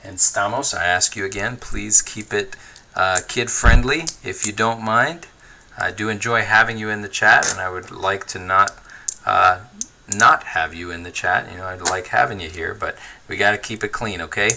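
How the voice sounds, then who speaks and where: A man hosting a livestream, chatting casually and reading viewer comments in a relaxed, easygoing way, laughing often and thinking aloud with fillers. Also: fairly low pitch, moderate pace, vocal fry, noisy background. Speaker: livestream host.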